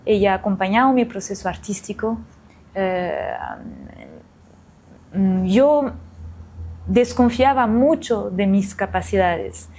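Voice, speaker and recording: A woman's voice: mid-range voice, takes it slowly, long pauses, darkish tone, slight background noise, low-quality recording. A woman in her forties from France, an illustrator giving an interview, talking reflectively with many fillers and restarts.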